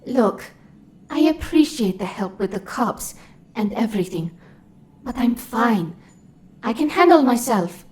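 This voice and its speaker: A woman's voice: a little high, expressive, a little slow, pauses often. A woman voicing a wary young alien woman. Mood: guarded. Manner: wary, sharp-tongued. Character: young female quarian immigrant, guarded and defiant. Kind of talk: fan audio drama acting. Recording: music behind the voice.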